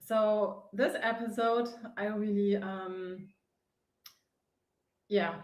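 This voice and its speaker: A woman from Germany with a German accent, hosting her own inspirational live stream from a sofa, speaking earnestly and often pausing to search for words. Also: mid-range voice, fairly even intonation, a little mellow. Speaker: host, face yoga founder. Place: sitting on a sofa. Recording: echoey room.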